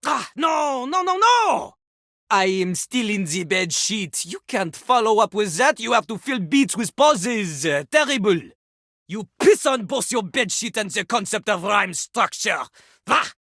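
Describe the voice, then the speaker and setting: A woman's voice: medium pitch, big pitch swings, a little slow, a bit bright, no room sound, studio quality, exaggerated voice. A woman voicing a vain, scornful French-accented toilet who fancies himself a rap master, in a theatrical video game performance with a pompous, dismissive manner. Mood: scornful, exasperated. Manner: pompous, speaks of himself in third person, dismissive.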